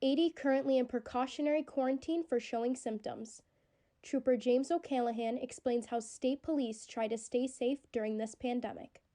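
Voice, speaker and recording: A young woman reads scripted student TV news. Her voice sits somewhat high, and she delivers her reports as a field reporter would. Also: moderate pace, warm tone. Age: young adult.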